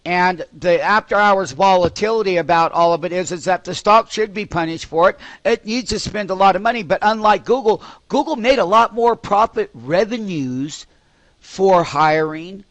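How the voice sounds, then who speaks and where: High-pitched man hosting a trading call. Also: moderate pace, fairly bright tone, no echo. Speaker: host of a live stock trading call. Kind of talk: live trading commentary.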